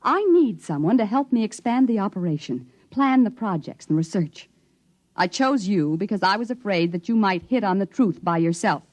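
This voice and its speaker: A woman's voice: medium pitch, lively intonation, normal speed, darkish tone, dry recording, lightly acted. An actress playing an ambitious, businesslike woman scientist with a formal, articulate manner, in 1950s radio drama style. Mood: intent, ambitious.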